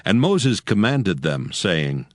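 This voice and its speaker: A man narrating scripture from a script in a formal, measured manner. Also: low voice, big pitch swings, no room sound, studio quality. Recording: background music under the voice.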